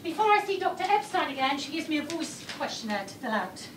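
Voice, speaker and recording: A woman performer telling her own story on stage in the present tense, often dropping to a low, hushed delivery. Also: very animated, fast talker. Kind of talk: first-person theatrical storytelling. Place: theatre stage. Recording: distant, echoey room with background noise.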